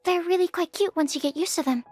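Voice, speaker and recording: Young female fantasy game character, very high pitch, earnest, theatrical. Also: fast, darkish tone, soft background music. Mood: gently eager. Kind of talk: video game character acting.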